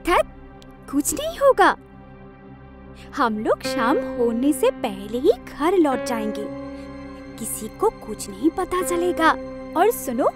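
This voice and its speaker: A woman voicing animated female characters in a Hindi cartoon story. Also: sits high, slow, long pauses, music in the background, exaggerated voice. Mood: coaxing, secretive.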